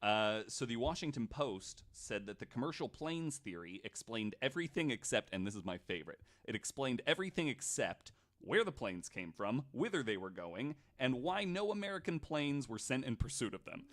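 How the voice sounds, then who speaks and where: A man hosting a casual podcast, retelling stories to his guests in an animated, swearing way. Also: mid-range voice, normal speed, hardly any pauses. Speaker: podcast host.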